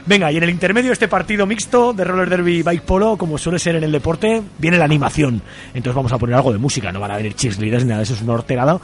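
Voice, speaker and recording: Chatty, fast-talking male radio host from Spain. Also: on the high side. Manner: informal, chatty. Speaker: radio show host interviewing guests. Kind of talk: casual radio interview.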